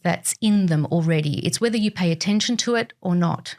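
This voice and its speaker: A woman's voice: fairly low pitch, fairly quick, a little mellow. A woman from Argentina, a tarot reader guest, answering interview questions in a hesitant way with many ums and restarts. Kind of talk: casual interview.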